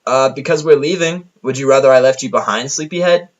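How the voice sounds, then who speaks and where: A man's voice: mid-range voice, brisk, dull audio, voice pushed up, natural voice. A man voicing a brash, playful female pegasus pony in an audio story, teasing and smug. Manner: teasing, exuberant.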